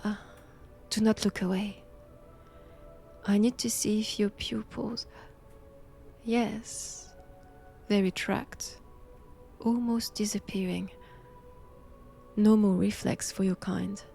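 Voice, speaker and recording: Woman acting a slow-spoken, imperious French nun. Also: medium pitch, creaky, mellow. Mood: clinical, commanding. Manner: slow, deliberate, haughty, often dropping to a whisper. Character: cold, imperious nun examining a captive vampire. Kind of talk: intimate roleplay audio drama.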